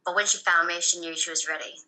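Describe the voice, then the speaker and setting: A woman in her thirties, born in Thailand and raised in Australia, speaks. Her voice sits a little low but has a very bright, sharp edge. She talks softly and hesitantly, pausing often as she recalls her story. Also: steady tone, low-quality recording. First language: Thai. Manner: soft-spoken, hesitant with frequent ums. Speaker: guest. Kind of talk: personal story interview.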